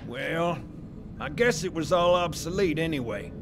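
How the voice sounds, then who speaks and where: High-pitched grumbling military armorer. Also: male voice, animated, noisy background. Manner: grumbling, brisk, instructive. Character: gruff, fussy older male military armorer. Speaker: weapons and armor technician. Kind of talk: video game cutscene acting.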